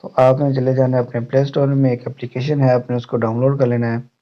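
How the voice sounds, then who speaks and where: A man's voice: medium pitch, flat delivery, quick pace. A man hosting a tech channel, narrating an app tutorial in an instructional way to his viewers. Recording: muffled, dull lo-fi voice-over.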